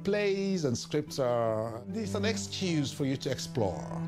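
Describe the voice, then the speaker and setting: A man from Nigeria, a playwright and director talking in an interview, halting and restarting as he goes. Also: on the high side, over loud music. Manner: lively, halting with restarts and stumbles.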